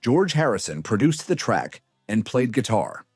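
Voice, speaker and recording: A man narrates a scripted countdown in a fairly low voice. His intonation swings widely and expressively. The sound is close and dry, with no room around it. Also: very animated, a little slow, husky, no echo, soft background music. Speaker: narrator of a music countdown video.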